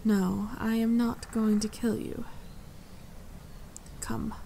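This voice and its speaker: Slow, creaky regal fae woman played by an actress. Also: fairly high pitch, level tone, slight background noise. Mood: amused caution. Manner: measured, composed, gently condescending to the listener. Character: tall, regal fae court leader, dryly amused, protective. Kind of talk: slow, intimate ASMR fantasy roleplay. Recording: forest ambience with birdsong. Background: birdsong and forest sounds.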